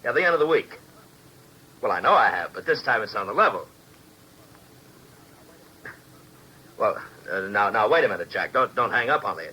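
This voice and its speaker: Desperate low-voiced tough American hustler. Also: male voice, expressive, fast, sharp tone, husky, full character voice. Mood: pleading, desperate. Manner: agitated, pleading, slangy tough talk. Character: desperate, bitter down-on-his-luck male private operator. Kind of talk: 1950s radio drama acting. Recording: noisy old 1950s radio recording.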